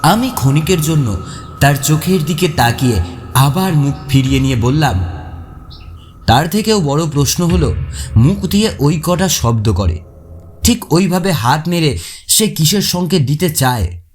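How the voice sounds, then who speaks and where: A man narrating a first-person horror story in an expressive, suspenseful, dramatic way. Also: a little high, a little slow, pauses often, slight background noise. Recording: echoey long reverb, faint music behind. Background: faint music.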